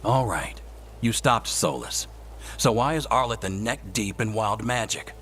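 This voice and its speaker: A man playing a gruff, blunt elven magic expert in a fantasy video game. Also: lowish voice, measured pace, fairly bright tone, slight background noise. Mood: gruff, accusing. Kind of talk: video game cutscene acting.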